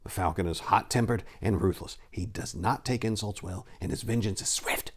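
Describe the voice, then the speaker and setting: A man's voice: lowish voice, moderate pace, slightly rough. A man voicing an anxious, cautious companion to a warlord prince in a dramatic fantasy reading, speaking with grave urgency. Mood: grave warning. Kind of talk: dramatic reading of sword and sorcery.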